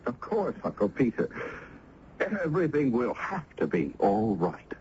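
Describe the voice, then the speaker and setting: A man's voice: medium pitch, sing-song, unhurried. An actor playing an earnest, reassuring village youth who tells his story in a radio drama, with emphatic delivery. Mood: earnest, insistent. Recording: muffled, hissy old radio recording.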